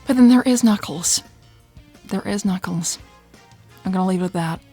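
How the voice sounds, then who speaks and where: Woman voicing a husky, sly cartoon bat thief. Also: medium pitch, moderate pace, warm tone, soft background music. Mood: casual, teasing. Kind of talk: fan-made cartoon dub.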